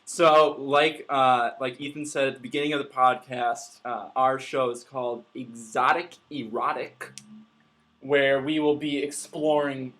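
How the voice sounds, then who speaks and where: A man reading for a podcast, speaking in a dry, low-key way. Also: steady tone, a little slow, fairly bright tone. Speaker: podcast reader. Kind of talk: casual chat.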